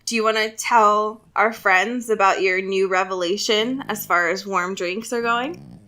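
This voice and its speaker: A woman's voice: medium pitch, measured pace, bright, forceful tone. A young adult woman co-hosting a podcast in casual comedic banter, wry, teasing and sweary. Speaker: podcast co-host.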